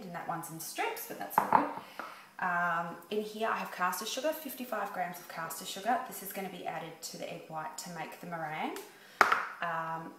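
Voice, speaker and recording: A woman, speaking quietly and chattily as she explains a baking recipe at home. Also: lowish voice, roomy sound, a little hiss or hum, low-quality recording. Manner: quiet, chatty and self-deprecating. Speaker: home baker. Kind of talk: baking tutorial. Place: home kitchen.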